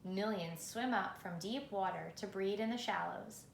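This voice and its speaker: A woman reading a children's picture book aloud in a measured, expressive storytelling manner, with pauses for effect. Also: mid-range voice, creaky. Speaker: reader. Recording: roomy echo, faint background noise.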